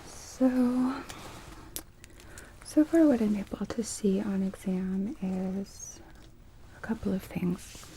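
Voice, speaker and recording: A woman whispering softly and breathily in an ASMR medical roleplay as an optometrist, explaining things gently and without hurry. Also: medium pitch, steady tone, takes it slowly, long pauses, slight background noise, poor audio.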